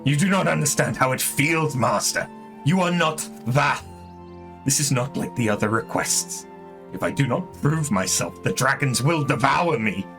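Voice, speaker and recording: A man's voice: mid-range voice, animated, normal speed, gravelly, fairly bright tone, faint music behind, voice pushed up. A man voicing a fearful young Vath creature from a game, pleading in a strained, raised voice. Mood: desperate. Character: young male Vath beast-tribe creature, fearful and anxious.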